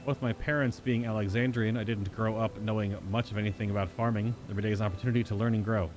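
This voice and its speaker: A man voicing an earnest, contented farm worker from a fantasy game, reading the dialogue aloud in a polite, measured manner. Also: on the low side, steady tone, close to an everyday voice, low-quality recording. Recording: music behind the voice.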